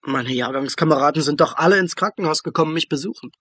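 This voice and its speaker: An actor playing an earnest, anxious young police student in a radio crime drama. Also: male voice, on the high side, quick pace, bright, forceful tone, slightly rough, no echo. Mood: uneasy. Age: young adult. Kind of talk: German radio play acting.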